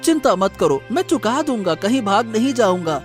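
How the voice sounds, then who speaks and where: A man's voice: high voice, sing-song, moderate pace. A poor cartoon farmer, voiced by a man, meek and friendly. He talks in a hesitant, placating way, with faint music under the voice. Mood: pleading. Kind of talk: Hindi cartoon moral story acting.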